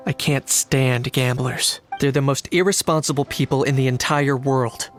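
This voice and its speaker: A man voicing a composed, blunt, principled young knight in a video game, speaking in a measured, matter-of-fact way. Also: mid-range voice, lightly acted. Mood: frank, disdainful. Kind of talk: scripted game dialogue. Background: music.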